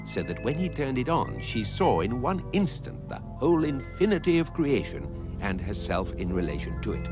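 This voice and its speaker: An actor playing a dry, urbane guidebook narrator with an English accent, telling comic science fiction in a measured deadpan. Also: male voice, on the low side.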